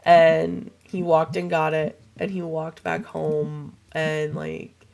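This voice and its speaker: Young woman streamer, low gravelly voice, still hoarse from a cold, slow rambling chatter, swears loosely. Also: level tone, a little mellow, faint music behind. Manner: rambling, swears casually, chats to viewers. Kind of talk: casual livestream chat while gaming.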